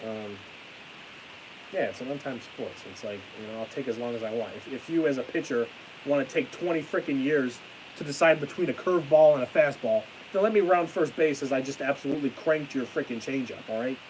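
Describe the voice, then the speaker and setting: A young man chatting on a live stream with a stuffy nose and a fading voice, ranting excitably and then trailing off into tired mumbles. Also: medium pitch, brisk, rough recording. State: stuffy-nosed, losing his voice. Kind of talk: casual live stream chat. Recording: hissy home stream mic.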